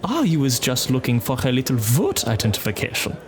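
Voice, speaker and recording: A man's voice: mid-range voice, huge pitch swings, brisk, a little hiss or hum. A man doing a musing tinged woodworker. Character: male woodworker with a German flair, helpful.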